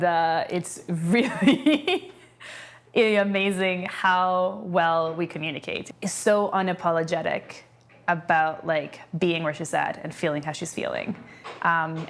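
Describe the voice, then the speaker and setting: A woman in her late twenties in a documentary interview, speaking reflectively and acting out little exchanges as she tells a story. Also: on the low side. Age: late 20s.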